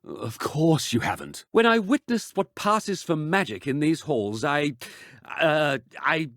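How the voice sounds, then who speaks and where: An actor playing a pompous, imperious pure-blood wizard in a video game, with a dismissive manner. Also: male voice, fairly high pitch, sing-song, slow, fairly bright tone, no room sound, studio quality, full character voice. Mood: pompous, disdainful.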